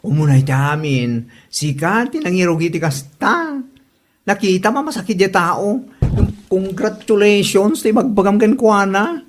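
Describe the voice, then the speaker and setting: A woman's voice: medium pitch, animated, measured pace. A woman playing a blunt, nagging friend in an Ilocano comedy drama. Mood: scolding.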